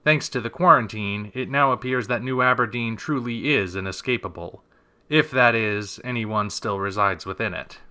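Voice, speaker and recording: 차분하고 진지한 남성 다큐멘터리 내레이터. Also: male voice, on the low side, pushed and bright, clean tone. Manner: measured, subdued, serious. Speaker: narrator. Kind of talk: scripted documentary-style narration.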